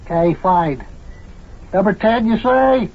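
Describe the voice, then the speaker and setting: An actor playing a folksy, rambling tall-tale spinner and joker in an old-time radio comedy. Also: male voice, sits high, lively intonation, slow, gravelly, heavily acted. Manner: drawling, rambling, folksy ungrammatical speech. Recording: dull, lo-fi old radio recording.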